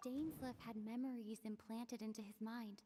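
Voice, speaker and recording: A woman playing a calm, analytical young female traveler in a video game, reasoning aloud in a measured, pensive way. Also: on the high side, long reverb tail, a little hiss or hum, close to an everyday voice. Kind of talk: video game character dialogue.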